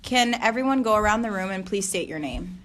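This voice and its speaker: A woman's voice: mid-range voice, animated, a little fast, fairly bright tone, a little hiss or hum. A young woman in her twenties moderating a student focus group, asking polite questions with hesitant fillers.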